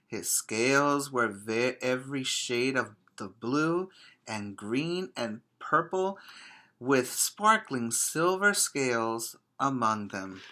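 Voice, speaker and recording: A man, a preschool teacher, reading a picture book aloud expressively and addressing young children directly in simple, deliberate phrases. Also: mid-range voice, expressive, sharp tone.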